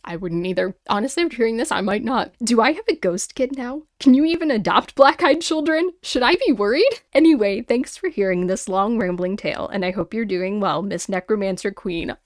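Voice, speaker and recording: A woman hosting a paranormal story show, reading listener tales aloud and reacting with animated, rambling, exclamatory asides. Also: medium pitch, brisk, hardly any pauses, dry recording, studio quality. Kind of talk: chatty commentary and reading listener stories aloud.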